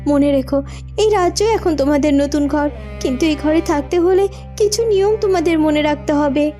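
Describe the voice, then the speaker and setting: A woman's voice: sits high, moderate pace, dark tone, lightly acted. A young cartoon princess acted by a woman addresses her subjects in a solemn, declamatory way. She is compassionate yet stern, with light music behind her. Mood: solemn, caring. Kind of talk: children's fairytale cartoon acting.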